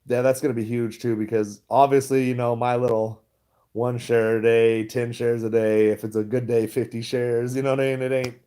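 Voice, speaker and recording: A man's voice: medium pitch, little pitch movement, moderate pace, mellow, clean tone. A man chatting casually to his viewers, rambling and laughing often. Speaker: stock vlogger. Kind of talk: casual livestream chat.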